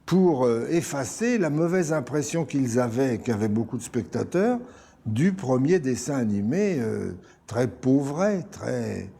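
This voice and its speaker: A man's voice: mid-range voice, lively intonation, a little slow, creaky, warm tone, breathy edge. An older man telling anecdotes in an interview about his work in animation. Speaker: animation writer recalling productions.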